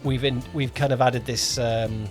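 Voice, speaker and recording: A man's voice: mid-range voice, steady tone, measured pace, over music. Man chatting casually as podcast host. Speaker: podcast host, audio drama producer and director. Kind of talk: casual two-person podcast chat.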